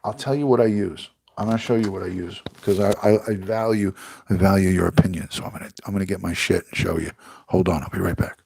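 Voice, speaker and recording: A middle-aged retired detective from the United States chatting casually on a livestream, rambling and reading out viewer comments, with a congested, stuffy voice. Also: male voice, sits low, fairly even intonation, quick pace, gravelly, darkish tone, breathy edge.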